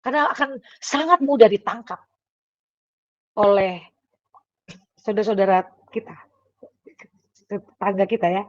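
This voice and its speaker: A woman's voice: mid-range voice, a little slow, long pauses. A middle-aged woman giving a casual devotional sermon in a conversational way, with fillers and tag questions, coughing now and then. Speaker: devotional speaker. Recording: dull, dry, lo-fi recording.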